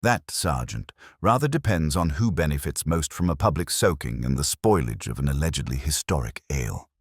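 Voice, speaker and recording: A man voicing a mild, dry-witted middle-aged police inspector who speaks quietly and without hurry. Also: very deep voice, expressive, normal speed, husky, no echo, exaggerated voice.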